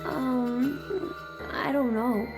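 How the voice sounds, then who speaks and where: High-pitched innocent kid for a mystery game, slow and tentative delivery. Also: female voice, steady tone. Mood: unsure. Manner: hesitant. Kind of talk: video game voice acting. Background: game music.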